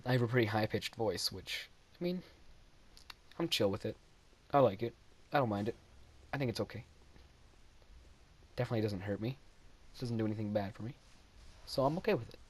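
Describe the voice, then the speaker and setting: A man's voice: lowish voice, lively intonation, brisk, long pauses, breathy edge. Giggly young man rambling over gameplay. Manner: rambling, self-deprecating, giggly. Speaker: gameplay commentator. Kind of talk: casual game commentary voice-over.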